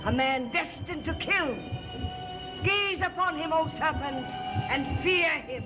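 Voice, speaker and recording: A woman's voice: high voice, slow pace. An actress playing a defiant conjure woman in a gothic soap opera, declaiming incantations solemnly over music. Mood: solemn, commanding. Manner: solemn, declamatory, ritual delivery. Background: loud eerie music and humming.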